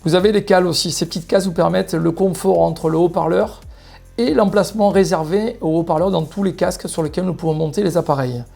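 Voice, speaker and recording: Gravelly Frenchman presenting products. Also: sits high, a little fast, dark tone. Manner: explanatory, addressing viewers directly. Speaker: motorcycle shop product presenter. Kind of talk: product presentation, semi-scripted.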